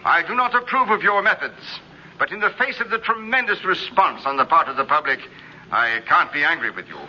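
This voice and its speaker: An actor playing a pompous, blustering business boss with formal, emphatic delivery in a 1950s radio drama. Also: male voice, fairly high pitch, lively intonation, brisk, sharp tone. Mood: stern, grudging. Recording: muffled noisy old radio recording.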